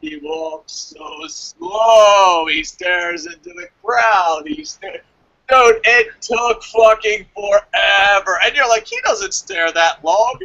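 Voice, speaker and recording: High pitched guy cohosting a review show, swears a lot, exasperated rants, kinda muffled lofi audio. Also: slow pace, creaky, sharp tone. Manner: ranting, exasperated, swears often. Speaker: co-host of a wrestling review show. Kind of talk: casual profane banter.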